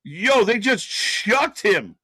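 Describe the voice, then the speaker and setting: A man's voice: sits high, sing-song, takes it slowly, sharp tone. A man co-hosting a live wrestling watch-along stream, wisecracking, laughing often and swearing. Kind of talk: casual live-stream commentary.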